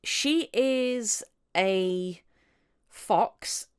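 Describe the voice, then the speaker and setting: A woman hosting a casual interview podcast, chatty and giggly, with a halting way of putting her questions. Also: medium pitch, clear, resonant tone.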